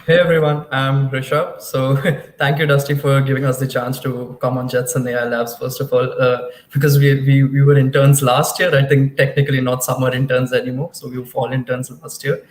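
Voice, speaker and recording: A young man introducing himself on a live stream, friendly but flat. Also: medium pitch, fairly quick, echoey room. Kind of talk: casual self-introduction.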